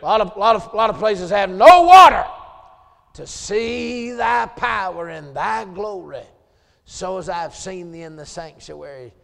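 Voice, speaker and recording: Gravelly Southern preacher in a reverberant church. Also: male voice, sits high, lively intonation, a little slow. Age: 40s. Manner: swings from quiet asides to hollered scripture, joking with the congregation. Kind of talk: Southern revival sermon. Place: church sanctuary.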